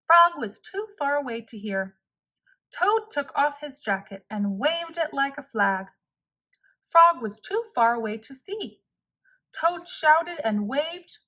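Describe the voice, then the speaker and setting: High, bright-voiced woman reading a storybook. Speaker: storybook reader. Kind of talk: children's read-aloud narration.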